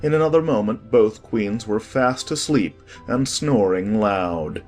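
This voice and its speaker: A man narrating a storybook from a script as an expressive audiobook reader. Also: fairly low pitch, unhurried, soft background music.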